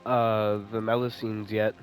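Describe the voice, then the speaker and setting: A man's voice: fairly low pitch, flat delivery, unhurried. Man giving casual gaming commentary. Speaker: gaming streamer. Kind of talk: casual let's play commentary. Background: game music.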